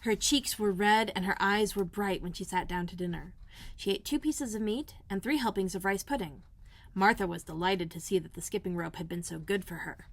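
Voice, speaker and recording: Woman, mid-pitched voice, storybook narration, measured, expressive, read-aloud for children. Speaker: elementary school librarian, host.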